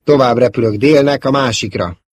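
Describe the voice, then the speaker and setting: Man acting a daring young pilot, eager, clipped reports, raised pitch, husky, audiobook. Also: no echo, lightly acted. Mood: triumphant.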